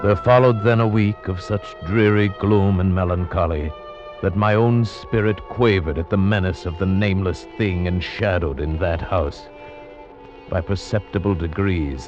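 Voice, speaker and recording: An actor playing an earnest, nervous gentleman who narrates in a solemn, measured manner in an old-time radio drama. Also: sits low, lightly acted. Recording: muffled old radio recording with music.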